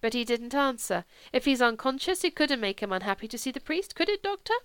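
A woman reader plays a mature lady in a literary audiobook, anxious and tactful. She pleads with careful persuasion in a lifted, clear voice with a dry, close sound. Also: a little high, very animated, a little fast, a bit bright, no room sound, voice pushed up. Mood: tactful, pleading. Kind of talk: audiobook character dialogue.

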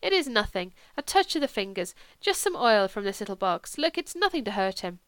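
A woman voicing a simple, genial male priest in an audiobook, plain-spoken, gently coaxing and reassuring. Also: medium pitch, sing-song, fairly quick, smooth, no room sound. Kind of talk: audiobook character dialogue.

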